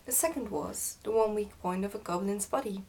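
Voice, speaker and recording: A woman reading a children's story aloud in ASMR style, soft-spoken and sometimes near a whisper. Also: mid-range voice, fairly quick, distant mic, a little hiss or hum. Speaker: ASMR book reader.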